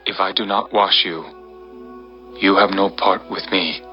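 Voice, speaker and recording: A solemn holy teacher with a lowish voice and a very slow, deliberate delivery. He speaks with quiet authority in an audio Bible drama. Music plays clearly behind him. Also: fairly even intonation. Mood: gentle, firm. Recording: dull, band-limited audio with music behind.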